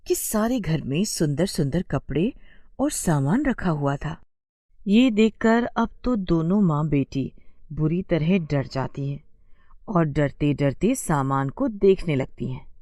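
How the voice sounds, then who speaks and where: A woman narrates a scripted bedtime tale as storyteller. The voice sits a little low and mellow, moving through lively rises and falls, recorded dry and close. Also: no room sound.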